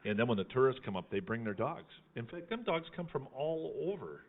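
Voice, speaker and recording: A man's voice: mid-range voice, very animated, a little fast. A man chatting casually as host of a live fundraiser, in a folksy, teasing way. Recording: thin phone-like sound.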